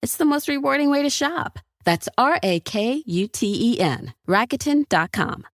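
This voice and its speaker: Higher-voiced woman reading an ad. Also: expressive, normal speed, no echo, clean studio sound. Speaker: ad announcer. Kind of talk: scripted ad read.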